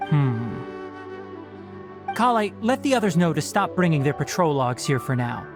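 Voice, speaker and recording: A man playing a calm, gently stern young forest ranger mentor in an anime video game, speaking in a precise, explanatory way. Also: on the high side, normal speed. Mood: inquisitive, brisk. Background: music.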